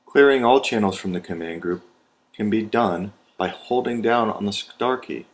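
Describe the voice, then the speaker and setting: Low-voiced American man giving instructions. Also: moderate pace. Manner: methodical, step-by-step. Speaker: training video instructor. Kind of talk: scripted instructional narration.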